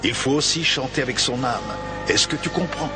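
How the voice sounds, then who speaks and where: A man voicing a wise, gentle older music teacher who speaks in a calm, instructive way. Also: medium pitch, moderate pace, natural voice. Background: background music score.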